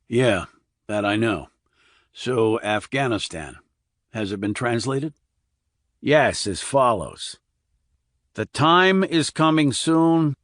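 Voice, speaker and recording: A man's voice: medium pitch, dry recording, studio quality. A man reading a thriller audiobook as a scripted narration, moving between narrative and dialogue.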